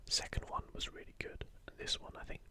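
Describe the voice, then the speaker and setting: Softly whispering man, ASMR style. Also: fast talker. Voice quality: soft, breathy unvoiced whisper. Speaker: host of an ASMR gaming channel. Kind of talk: whispered ASMR, casual show-and-tell of an art book.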